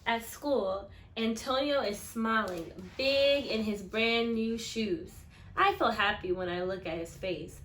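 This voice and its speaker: Woman doing an animated kids book read-aloud, highish voice, echoey room, stops to ask questions. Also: a little hiss or hum. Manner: animated, acting out dialogue and pausing to question listeners. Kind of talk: expressive children's picture book read-aloud with questions to listeners.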